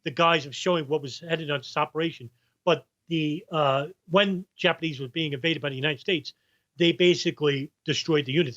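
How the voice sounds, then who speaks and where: A man's voice: on the high side, fairly bright tone, muffled recording. A man with a New York accent, a guest researcher in a podcast interview, talking at length and stopping to recall names. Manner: rambling, restarts while recalling names.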